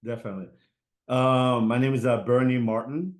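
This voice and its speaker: A middle-aged man from Haiti answering interview questions, halting with many fillers and restarts. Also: medium pitch, fairly even intonation. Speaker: guest, fashion designer.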